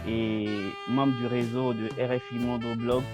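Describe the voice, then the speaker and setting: Ivorian man over clear background music. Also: mid-range voice, flat delivery, a little slow. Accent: Ivorian French. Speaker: panelist, communication consultant and blogger. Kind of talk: informal self-introduction in a webinar.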